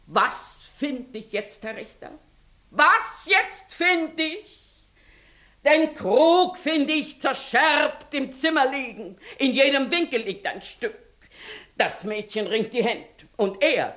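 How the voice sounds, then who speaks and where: A woman's voice: a little high, fairly bright tone. An actress playing an indignant, accusing village mother, declaiming dramatically and emphatically in a classic German radio stage comedy.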